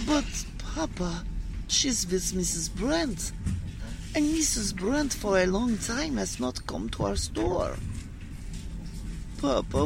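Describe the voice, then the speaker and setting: A woman's voice: mid-range voice, creaky, noisy background, full character voice. A woman acting a fretful middle-aged immigrant wife, hesitant and earnest, in a radio drama.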